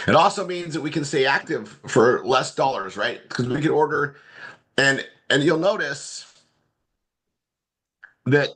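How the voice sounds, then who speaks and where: Hoarse man running a live webinar. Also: fairly bright tone. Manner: loose, repetitive, upbeat pitch. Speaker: online marketing trainer.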